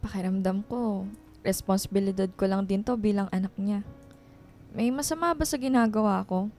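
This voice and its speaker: A woman's voice: medium pitch, moderate pace, darkish tone, soft background music, lightly acted. A Filipino woman playing a dutiful young student and devoted daughter, earnest and polite but firm in a radio drama. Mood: earnest, troubled.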